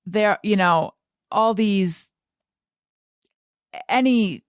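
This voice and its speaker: A woman hosting a podcast, talking casually and haltingly as she thinks aloud and repeats words. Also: medium pitch, clean tone, no room sound, studio quality. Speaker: podcast host and interviewer. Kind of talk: casual conversational podcast talk.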